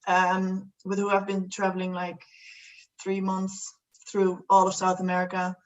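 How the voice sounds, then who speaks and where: A woman's voice: mid-range voice, completely flat delivery, measured pace, recorded from a distance, lo-fi sound. A young woman from the Netherlands, a guest chatting casually, rambling with many fillers and restarts. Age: young adult. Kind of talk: casual chat.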